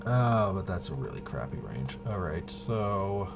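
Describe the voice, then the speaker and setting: A fairly low-voiced man talks through a tabletop game at a slow pace. He is casual and halting, muttering to himself as he thinks aloud. There is clear noise behind his voice. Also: very animated. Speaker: tabletop RPG player and presenter.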